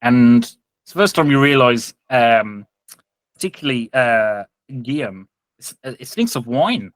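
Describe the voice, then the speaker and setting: A man's voice: medium pitch, very animated, measured pace, no echo. A man with a British accent improvising tabletop game narration as game master, colloquial and hesitant as he describes.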